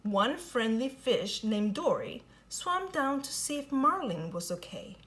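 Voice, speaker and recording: A woman reads a children's picture book aloud, her higher voice swooping up and down in a lively sing-song. She takes her time over every line, drawing out the telling. Soft music plays faintly behind her. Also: measured pace. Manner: expressive, sing-song storytelling. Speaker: storybook reader.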